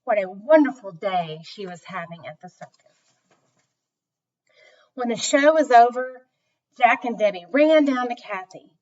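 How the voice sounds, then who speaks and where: A woman tells a children's story at an unhurried pace, her fairly high voice swooping expressively. The recording is dull and a little distant from the microphone. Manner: slow, animated, deliberate emphasis.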